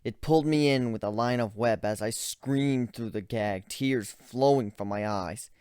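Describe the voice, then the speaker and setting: A man's voice: medium pitch, unhurried, darkish tone, clear, resonant tone, no echo. A man giving a dramatic first-person reading of a story as its narrator, close to the microphone.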